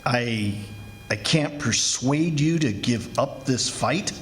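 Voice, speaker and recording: A man's voice: medium pitch, expressive, a little hiss or hum. A man playing an earnest, anxious commissioner in a 1940s radio drama, telling the tale dramatically. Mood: resigned. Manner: dramatic, urgent, measured when narrating. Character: anxious, earnest male commissioner recounting the siege. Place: live stage performance at a college.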